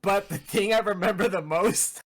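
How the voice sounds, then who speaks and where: A man's voice: sits high, moderate pace, fairly bright tone. A man chatting casually as a podcast co-host, joking and teasing in a loose, sweary way. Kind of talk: casual chat on a gaming podcast.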